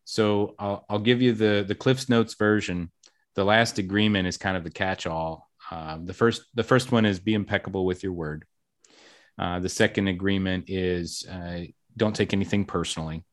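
A man's voice: sits low, steady tone, normal speed. A man hosting a casual podcast interview, talkative and upbeat, with self-deprecating jokes. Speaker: podcast host.

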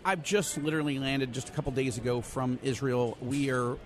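A man giving conversational news analysis as an interview guest, halting at times and stressing key words. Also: medium pitch, steady tone. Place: convention center.

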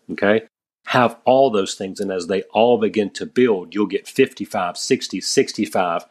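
A man in his thirties, a guest on a podcast, giving energetic, emphatic advice and often calling the host "man". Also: sits low. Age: 30s. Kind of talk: podcast conversation.

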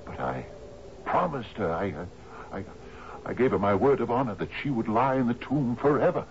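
An actor playing a doddering, sentimental old island sea captain who stammers and repeats himself, in a 1970s radio drama. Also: male voice, mid-range voice, animated, normal speed, natural voice. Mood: shocked, indignant. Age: older. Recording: dull, noisy old radio recording.

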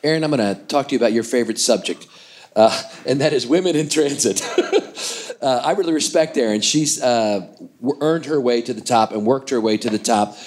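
A man's voice: medium pitch, fairly quick, a little mellow. A man moderating a conference panel in a genial way, introducing topics to the panelists.

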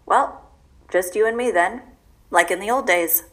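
A woman playing a composed, secretive, businesslike boss in an audio drama, speaking in a dry, understated, measured way. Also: medium pitch, a bit bright, close to an everyday voice. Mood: deadpan calm.

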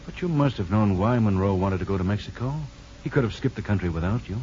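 A muffled old radio recording has an actor playing a grave, steadying doctor. His voice is very low and he talks briskly, with the restraint of a concerned friend in a 1950s drama. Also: lively intonation, a little mellow, lightly acted. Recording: dull, faintly noisy old radio recording.

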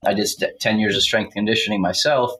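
A man from Croatia, a chiropractor and podcast guest, speaking plainly with some halting restarts. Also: fairly low pitch, fairly even intonation, fairly bright tone, rough recording. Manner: plain, halting, uses fillers. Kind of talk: casual interview answers.

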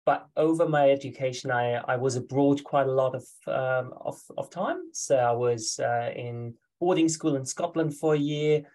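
A man's voice: medium pitch, normal speed, few pauses, a little mellow. A man from Germany with a German accent, a guest on a podcast, talking in a friendly, hesitant way. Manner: hesitant, many fillers and restarts, friendly. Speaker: guest, ultra runner. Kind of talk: podcast interview.

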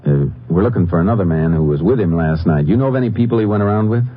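A man acting as a stern, determined American Texas Ranger in a 1950s radio drama, speaking in a terse, deadpan way. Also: sits low, very fast, husky. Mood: firm, official. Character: stern, determined male Texas Ranger leading a murder hunt. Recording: muffled old radio recording with music.

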